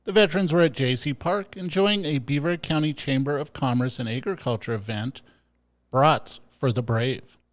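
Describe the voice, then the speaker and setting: A man reading the scripted intro narration for a local news series in a steady, even delivery. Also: mid-range voice, moderate pace, no echo. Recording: voice-over with faint music behind.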